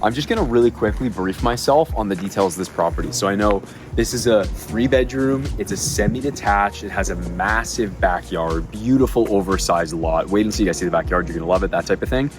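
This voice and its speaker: Slightly hoarse realtor, energetic. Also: male voice, lowish voice, over music. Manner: energetic, quick-talking, salesy. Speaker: real estate agent. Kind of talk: casual behind-the-scenes explanation and upbeat property pitch. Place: outdoors at a house.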